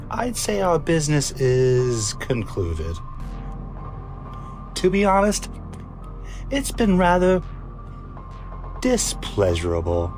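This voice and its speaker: A man playing a haughty, callous, excitable scientist in a radio drama, speaking in a pompous, theatrical and disdainful way. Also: medium pitch. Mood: haughty, dismissive.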